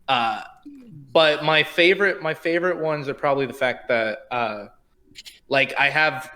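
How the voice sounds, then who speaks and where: A man talks casually on a game masters' panel. His voice is very bright and forceful, a little on the high side. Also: a little slow. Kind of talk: casual roundtable chat.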